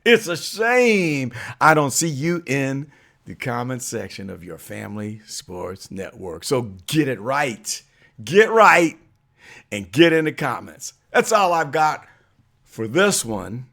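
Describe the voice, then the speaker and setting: A hoarse man hosting a sports vlog, arguing his point with emphatic, call-out energy. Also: medium pitch, very animated, slow, fairly bright tone. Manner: emphatic, preachy, calls people out. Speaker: channel host. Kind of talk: fired-up sports opinion vlog.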